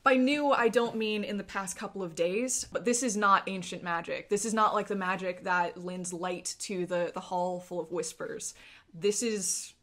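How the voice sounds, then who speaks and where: A woman game master narrating a tabletop fantasy game with deliberate, descriptive storytelling. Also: medium pitch, a bit bright. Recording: music playing behind. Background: music.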